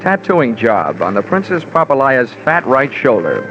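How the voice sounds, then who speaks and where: An actor playing a wry, hard-boiled American insurance investigator, narrating dryly and colloquially in 1940s radio detective style. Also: male voice, medium pitch, sing-song, normal speed, lightly acted. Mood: wry, brisk. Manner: dry, sardonic, colloquial. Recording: muffled old radio recording with music.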